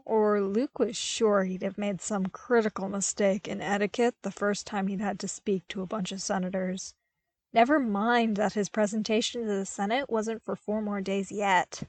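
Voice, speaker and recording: A woman reading fiction aloud in an expressive, wry, conversational and emphatic storytelling style. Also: mid-range voice, moderate pace, no echo. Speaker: podfic narrator.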